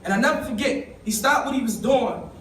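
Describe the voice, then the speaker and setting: A young man speaks in a high voice, telling his own story in an emotional, conversational way and talking straight to the crowd. He stands away from the mic in a roomy, reverberant hall. A faint hum of the room sits behind him. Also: brisk, fairly bright tone. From East Baltimore. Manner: emotional, conversational, addresses the audience directly. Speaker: summit speaker. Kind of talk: personal storytelling speech. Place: conference hall. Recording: echoey room, voice from a distance.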